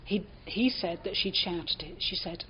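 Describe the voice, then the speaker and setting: A woman's voice: on the low side, measured pace, a little hiss or hum. An older woman with a British accent reminisces in an interview, telling anecdotes reflectively and voicing what people said. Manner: anecdotal, reflective, acts out reported speech. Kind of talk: reminiscing in an oral history interview. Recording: dull, muffled lo-fi recording.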